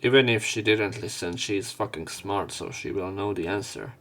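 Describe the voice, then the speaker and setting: Low-voiced man reading a game aloud. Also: moderate pace, vocal fry, a bit bright. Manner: informal, wry asides with swearing. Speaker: let's play commentator. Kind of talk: reading visual novel narration aloud with casual commentary.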